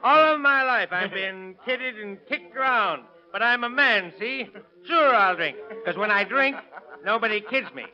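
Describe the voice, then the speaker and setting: High-pitched, theatrical meek man gone bitter. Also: animated, a little slow. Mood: drunken, defiant. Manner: theatrical, defiant. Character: drunk, meek henpecked man turned menacing and bitter. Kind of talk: 1930s radio drama acting. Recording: muffled vintage radio recording with music.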